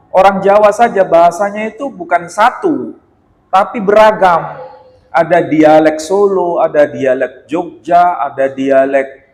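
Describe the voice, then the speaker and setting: An Indonesian man giving a religious lecture in a mosque, animated and teasing, with rhetorical questions. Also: sits high. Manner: chants Quran verses in a slow melodic recitation. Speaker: Islamic lecturer. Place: mosque hall. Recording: echoey mosque hall.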